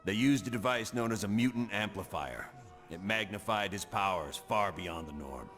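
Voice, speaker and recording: An authoritative leader briefing his team in a video game. His voice is on the low side and measured, ringing in a hall over soft music. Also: animated. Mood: calm briefing. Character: calm, authoritative male superhero team commander. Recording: long reverb with music.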